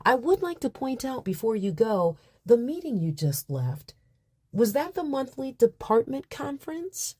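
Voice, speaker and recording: An actress playing a polished, probing human resources officer in an audio drama, formal and effusively courteous. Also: female voice, a little high, big pitch swings, unhurried, dark tone, breathy edge, no echo, clean studio sound, heavily acted. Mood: politely probing.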